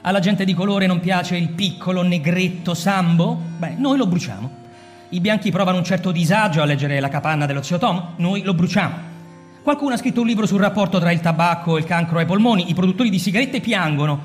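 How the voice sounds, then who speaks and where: An actor playing a cold, persuasive male fire captain lecturing a subordinate in a radio drama, smooth and insistent, sometimes hushed. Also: high-pitched, long reverb tail.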